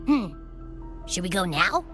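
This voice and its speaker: A woman voicing a small, childlike game companion creature, curious and eager, with a squeaky, cutesy voice. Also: unhurried. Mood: curious, unsure. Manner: hesitant hums, excitable exclamations. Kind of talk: video game voice acting. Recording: music behind the voice.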